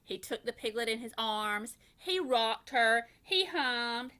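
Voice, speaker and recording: A woman reading a children's bedtime story aloud like a first grade teacher, with expressive, deliberate storytelling. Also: a little high, smooth.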